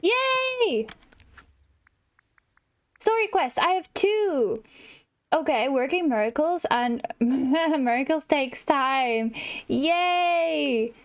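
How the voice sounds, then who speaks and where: A woman hosting a gaming video, chatting casually and excitably as she plays and often exclaiming. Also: sits high, drawn-out words, long pauses. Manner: excitable, exclamatory, chuckles at herself. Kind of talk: casual let's-play chat, reading game dialogue aloud.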